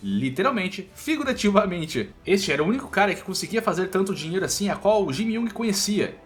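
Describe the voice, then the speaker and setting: High-pitched, animated Brazilian man narrating. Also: brisk. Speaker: recap narrator. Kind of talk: scripted story narration of a comic recap. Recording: faint music under the voice. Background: faint background music.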